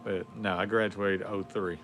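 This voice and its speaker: A man in his forties with a drawl talks in a low, easygoing voice. He rambles on a livestream, full of fillers and loose asides. Faint music plays underneath. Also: flat delivery, measured pace, fairly bright tone. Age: 40s. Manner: rambling, laid-back, drawling with many fillers. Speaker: livestream host. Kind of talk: casual chat.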